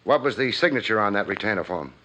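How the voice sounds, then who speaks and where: An American actor playing a cool-headed, wisecracking private investigator in a 1950s radio drama, with a wry, clipped, hard-boiled delivery. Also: male voice, on the low side, expressive, quick pace, bright, forceful tone. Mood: probing, brisk. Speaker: lead actor and narrator. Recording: muffled old radio broadcast, faint music.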